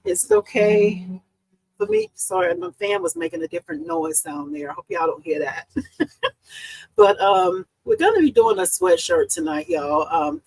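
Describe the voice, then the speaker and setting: Hoarse, chatty woman hosting a live stream. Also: mid-range voice, huge pitch swings, moderate pace, a little mellow, poor audio. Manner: chatty and upbeat, greets viewers by name. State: slightly hoarse, losing her voice. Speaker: host and embroidery business owner. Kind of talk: casual live-stream chat. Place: home craft room. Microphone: live-stream setup.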